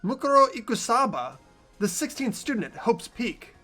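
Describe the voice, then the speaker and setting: A man voices an anxious teenage schoolboy hero from a visual novel game. The voice is high and very animated, hesitant and earnestly questioning, with faint music behind. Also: normal speed, exaggerated voice.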